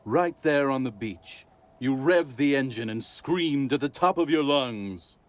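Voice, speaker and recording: A man's voice: medium pitch, expressive, measured pace, close to an everyday voice. A man playing a wry, philosophical drunkard in a video game, telling tales in a laid-back, mock-eloquent way. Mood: amused storytelling. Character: wry, boozy male alcoholic storyteller, grandiose and philosophical. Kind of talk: video game character monologue.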